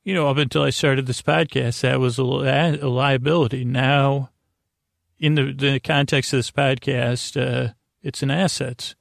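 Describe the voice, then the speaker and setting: A man delivers a soothing, wandering bedtime podcast monologue. His voice is mid-pitched with plenty of vocal fry, recorded very dry. He hesitates, repeats himself and drifts from one half-finished phrase to the next. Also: fairly even intonation, no room sound. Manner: meandering, halting, trails off and repeats himself. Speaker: podcast host. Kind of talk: rambling bedtime monologue to help listeners sleep.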